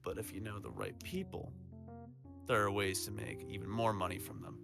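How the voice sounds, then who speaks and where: A man playing a proud, defiant supervillain with a soft side in an audio drama, speaking emphatically and earnestly. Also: on the low side, very animated, normal speed, creaky, music in the background, exaggerated voice. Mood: businesslike.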